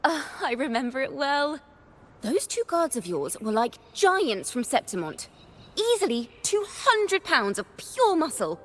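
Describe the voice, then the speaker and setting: An actress playing a cheerful, easygoing young bard in a video game, with an expressive manner. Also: female voice, high voice, unhurried, slight background noise, heavily acted. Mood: amused.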